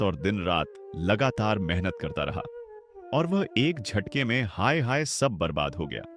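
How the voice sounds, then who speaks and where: A despairing poor farmer and father, voiced by a man in a cartoon, with a low voice that rises and falls widely as he mourns and pleads. Music plays throughout. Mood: lamenting. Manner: pleading, sorrowful. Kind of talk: cartoon voice acting. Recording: music behind the voice.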